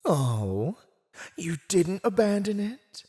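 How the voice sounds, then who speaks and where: Fantasy villain warlord, silver-tongued, slow drawn-out taunts, wildly swooping pitch, smug mockery, audio roleplay. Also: male voice, big pitch swings, warm tone, heavily acted. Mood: smug, sly. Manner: teasing, mocking, theatrical, drawn-out delivery with chuckles. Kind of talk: ASMR roleplay, scripted villain monologue.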